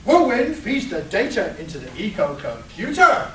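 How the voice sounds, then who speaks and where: A radio serial storyteller performed by a man setting scenes for a comic superhero play. His pitch is extremely high and animated, and the recording is echoey and distant. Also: moderate pace, a little hiss or hum, full character voice. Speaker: narrator. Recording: echoey room, distant mic.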